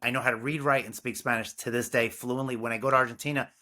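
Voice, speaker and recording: A man talks with earnest, animated energy as a podcast guest. His voice has a bright, forward edge as he explains his ideas. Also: medium pitch, fast. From Brooklyn, New York. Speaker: music curriculum founder, guest. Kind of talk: casual interview talk.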